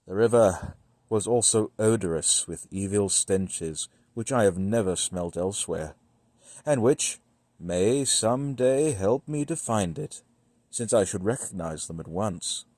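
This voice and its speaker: Slow, low-voiced man reading gothic horror. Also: a little mellow, no room sound. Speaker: audiobook-style reader. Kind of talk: scripted literary narration of a gothic horror story.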